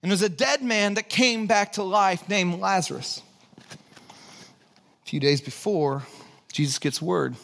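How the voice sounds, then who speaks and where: A man with a Texan accent preaching a conversational, animated sermon, dropping to hushed low lines and jumping into lively high asides. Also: husky. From Texas. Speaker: pastor. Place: church sanctuary.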